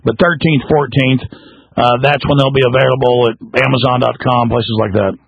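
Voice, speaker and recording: A man hosting a call-in radio show, his voice gravelly and somewhat husky. He talks loudly and forcefully and speeds up when worked up. The sound is close and dry, a little dull, as if on a radio broadcast. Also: mid-range voice, no echo. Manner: loud, forceful and fast when excited. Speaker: radio talk show host.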